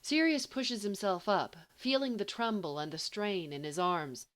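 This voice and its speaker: A woman reading a story as scripted narration, expressively and with deliberate, emphatic phrasing. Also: mid-range voice, fairly bright tone. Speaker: audiobook reader of fan fiction.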